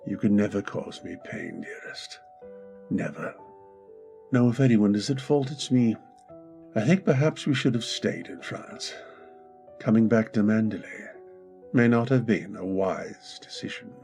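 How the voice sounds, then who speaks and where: A man playing a brooding, volatile gentleman husband. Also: lowish voice, expressive, a little slow, gravelly, long pauses, soft background music. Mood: uneasy. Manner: abrupt angry outbursts softening into tender apology. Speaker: voice actor. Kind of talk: 1940s radio acting.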